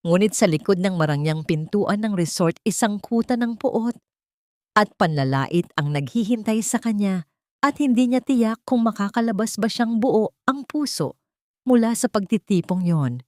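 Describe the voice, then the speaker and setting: A woman narrating a scripted dramatic story in a measured, solemn manner. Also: mid-range voice, animated, darkish tone, dry recording, studio quality.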